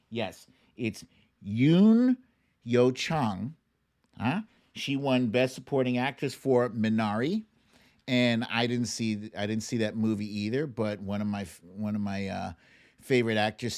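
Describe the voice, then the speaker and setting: A man hosting a livestream with casual commentary, speaking in a halting, conversational way with pauses and emphatic stresses. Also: medium pitch. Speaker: host of a livestream show.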